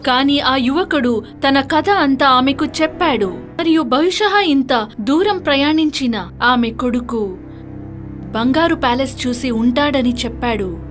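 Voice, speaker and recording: A woman's voice: high-pitched, animated, unhurried, faint music behind. A woman narrator reading a scripted fairy tale for children.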